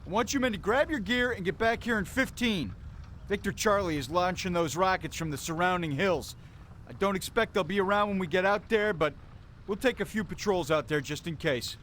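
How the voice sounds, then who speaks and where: A man playing a gruff, authoritative Marine officer giving brisk orders in a war game. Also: sits high, fairly bright tone, slight background noise. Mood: commanding.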